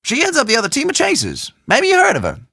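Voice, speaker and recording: A man's voice: very high-pitched, huge pitch swings, fast, vocal fry, bright, forceful tone, dry recording, studio quality, heavily acted. A man playing a chatty, forgetful small-town shopkeeper in a video game, with a folksy, theatrical Southern country drawl. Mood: friendly, chatty. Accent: American Southern or South Central country.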